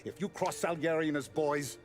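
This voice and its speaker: A man's voice: a little high, steady tone, a little fast, natural voice. Male actor: authoritative mob boss, menacing and proud; game cutscene, music behind. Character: commanding male crime boss, ruthless and proud. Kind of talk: video game cutscene acting.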